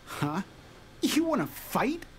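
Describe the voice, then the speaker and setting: A woman's voice: medium pitch, very animated, extremely slow, slight background noise. A woman playing a blunt, fed-up townswoman in an anime game, scolding and indignant.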